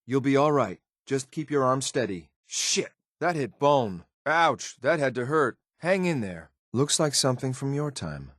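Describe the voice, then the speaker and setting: An actor playing a loyal, coldly disdainful synth soldier in a video game, speaking in a clipped, military, deferential but blunt way. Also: male voice, mid-range voice, big pitch swings, measured pace, hardly any pauses, a bit bright, dry recording, clean studio sound. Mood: urgent, concerned. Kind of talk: video game companion voice lines.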